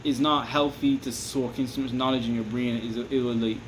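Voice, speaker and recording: A man with a Barbadian accent talks loosely and at a middling pitch, circling back on himself and checking in with the listener. A steady hiss and air-conditioner hum sit under his voice. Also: fairly quick, low-quality recording. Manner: rambling, repeats "you understand me?", restarts often. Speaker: vlogger giving advice. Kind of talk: casual advice monologue to camera.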